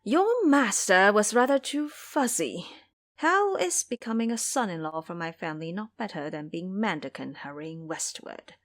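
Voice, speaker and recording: A woman's voice: mid-range voice, expressive, moderate pace, close to an everyday voice. A woman voicing a shrewd, wealthy mother seeking a husband for her daughters in an audio drama, coaxing and frank. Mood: reproachful. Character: shrewd matchmaking mother of three daughters, rich household.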